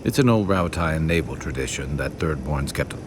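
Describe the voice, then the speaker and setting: An actor playing a weary, reflective seafaring companion in a fantasy game, musing in a measured, plain-spoken way. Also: male voice, very deep voice, animated. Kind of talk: video game dialogue acting. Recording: clear background noise, game ambience.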